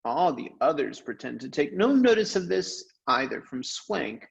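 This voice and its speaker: A man's voice: on the high side, big pitch swings, moderate pace, vocal fry, highs cut off. A man voicing a priggish, self-important schoolboy who whines and complains pompously as he reads out his diary for a storybook. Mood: indignant, whiny. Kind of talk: dramatized audiobook reading.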